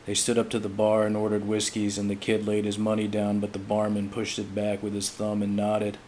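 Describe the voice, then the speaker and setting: A man giving a dramatic reading of literary fiction in a measured, solemn storytelling manner. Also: on the low side, monotone, darkish tone, a little hiss or hum, poor audio. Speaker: amateur audiobook reader.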